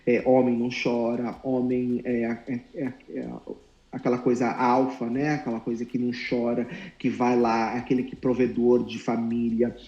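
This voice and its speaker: Earnest man in his thirties, mellow voice. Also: mid-range voice, monotone, lo-fi sound. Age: 30s. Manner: earnest, reflective, halting restarts. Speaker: guest, former client. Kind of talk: candid interview talk. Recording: roomy echo.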